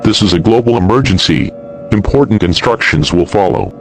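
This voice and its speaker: A man's voice: on the low side, expressive, a little fast. A man playing a solemn emergency alert announcer, reading a scripted doomsday broadcast in a grave, formal way. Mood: grave, urgent. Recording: clearly noisy, humming radio-style broadcast.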